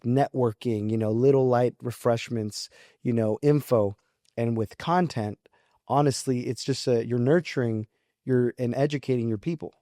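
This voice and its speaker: Mellow young man, very dry close sound. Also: medium pitch, dry recording. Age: early 20s. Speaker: podcast guest, real estate agent. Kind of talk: casual interview talk, explaining his approach.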